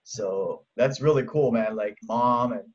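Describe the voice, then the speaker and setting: A man's voice: mid-range voice, a little slow, a little mellow. Upbeat male host with slangy reactions. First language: Japanese. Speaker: interviewer, host. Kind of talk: casual interview. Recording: dull lo-fi sound.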